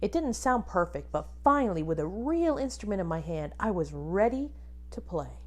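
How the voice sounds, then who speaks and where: A woman teacher reading a picture book aloud to children expressively, pausing to ask them questions and point things out. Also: mid-range voice. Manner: animated, addresses young children with questions.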